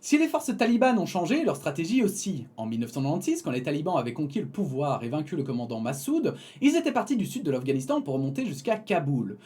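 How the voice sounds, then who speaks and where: Young guy, animated up and down voice, reads then rambles with lots of ums. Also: on the high side, quick pace, hardly any pauses. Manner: reads then digresses with fillers and laughs. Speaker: YouTube commentator. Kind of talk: reading a text with casual asides.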